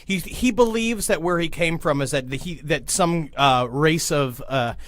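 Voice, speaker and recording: A man's voice: on the high side, normal speed, no echo. A man hosting a podcast, telling a true-crime story in a rambling, profane, casual way. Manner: profane, rambling, acts out quotes in voices. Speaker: podcast host.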